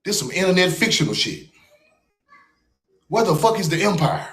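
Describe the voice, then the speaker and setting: A man's voice: high voice, big pitch swings, moderate pace, a bit bright. A man from the United States hosting a livestream, ranting in a mocking, confrontational and profane way. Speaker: livestream host. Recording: roomy echo.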